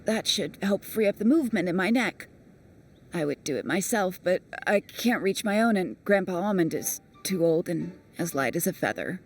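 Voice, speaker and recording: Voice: medium pitch, expressive, normal speed, distant mic, lightly acted. An actor voicing a friendly, fussy talking origami creature with a long neck, chatty and explaining. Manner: chatty, gently instructive. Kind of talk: video game voice acting. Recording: voice set back from mic, game music.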